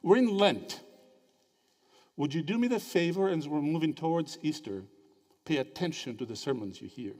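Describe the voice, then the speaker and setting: A man gives a theology lecture in a large hall, his slightly high voice rising and falling with lively, animated inflection. He keeps prodding the audience with short questions, and a long reverb trails each phrase. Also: normal speed. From Greece. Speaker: theology speaker at a symposium. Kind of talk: interactive lecture.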